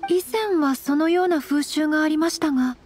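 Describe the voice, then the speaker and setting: A woman's voice: sits high, normal speed, a little mellow, natural voice. An actress playing a demure, courteous young noble lady in a video game, speaking politely and formally. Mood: polite, composed. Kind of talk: anime-style video game voice acting. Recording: background game music.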